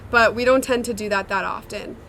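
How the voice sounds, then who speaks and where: A woman's voice: medium pitch, steady tone, a little fast, gravelly, fairly bright tone, a little hiss or hum. A young woman, a singer-songwriter, answering interview questions in a chatty, reflective way with many fillers. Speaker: songwriter and singer in a sister duo.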